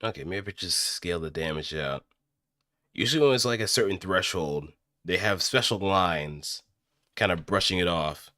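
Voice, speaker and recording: A man streaming casually, thinking aloud in a halting, musing way as he works. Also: deep voice, bright, forceful tone, no room sound, studio quality.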